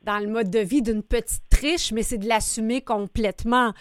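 A woman's voice: fairly high pitch, fairly even intonation, moderate pace, clean tone, no echo. A woman radio host, interviewing a guest with frequent hesitant fillers.

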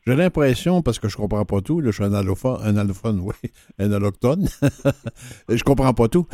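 A man hosts a radio interview, asking his questions in a friendly, relaxed way. His voice sits at a medium pitch in a dry, close studio sound. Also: moderate pace, dry recording. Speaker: radio host.

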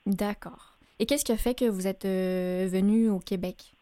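A woman hosting a radio interview show, speaking gently with many hesitations. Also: mid-range voice, unhurried, dry recording.

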